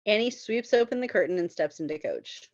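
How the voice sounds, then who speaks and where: A woman's voice: mid-range voice, animated, a little fast, no room sound, muffled recording. A woman reading stage directions as scripted narration at a table read.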